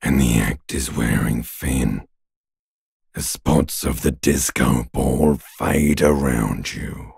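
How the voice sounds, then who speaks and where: A man voicing an ancient reptilian brain in a video game, a menacing, mocking inner voice with a raspy growl and a drawn-out, taunting delivery. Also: rumbling low, darkish tone, dry recording, clean studio sound, full character voice. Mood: taunting, bleak. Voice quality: extremely gravelly, raspy growl. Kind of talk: dramatic video game voice acting.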